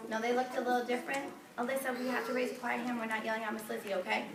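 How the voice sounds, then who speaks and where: A woman teacher reading a picture book aloud to young children, expressive and often prompting them with questions. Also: on the high side, racing. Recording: distant, echoey noisy classroom.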